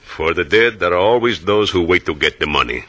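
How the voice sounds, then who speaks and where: A stern Cuban police officer in a vintage radio adventure. The voice is deep and piercingly forceful. His phrasing is formal, measured and mocking. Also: animated, full character voice. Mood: grave. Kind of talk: 1940s-50s radio adventure acting.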